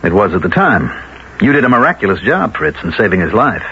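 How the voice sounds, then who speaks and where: A dull, slightly noisy old radio recording carries a male elitist elder. He speaks formally and persuasively with a very varied melody, and a quiet threat sits under the polish. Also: fairly low pitch, very animated, a little fast, heavily acted. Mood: confiding. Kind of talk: 1970s radio drama acting. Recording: dull 1970s radio recording, faint noise.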